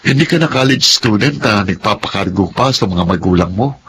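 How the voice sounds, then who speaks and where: Guy doing a fed-up young husband, lower voice, complaining, faint hiss. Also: lively intonation, normal speed, a bit bright, poor audio. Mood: exasperated. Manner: complaining, exasperated. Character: young husband Nathan, 30, frustrated, wants independence. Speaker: husband in radio drama. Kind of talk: Filipino radio drama acting.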